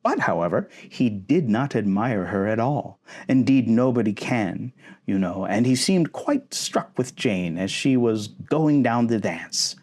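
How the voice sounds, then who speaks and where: An excitable, gossipy middle-aged mother, as a male storybook reader plays her, effusive and dramatic. The pitch swoops widely from line to line, giving every phrase a theatrical lift. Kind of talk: storybook character acting.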